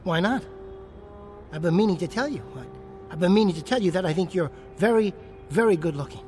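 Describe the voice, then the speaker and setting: Jittery ventriloquist: haunted, insistent, stammering pleas, radio drama with faint music. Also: male voice, high voice, expressive, a little fast, a little mellow. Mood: nervous, coaxing. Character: jittery, haunted male ventriloquist pleading for company. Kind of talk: radio play acting.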